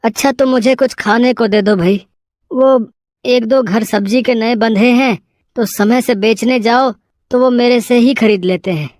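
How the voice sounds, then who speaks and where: A woman's voice: a little high, measured pace, darkish tone, dry recording, studio quality, natural voice. A woman voicing a poor, humble, regretful husband who sells vegetables, in a storybook tale. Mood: practical.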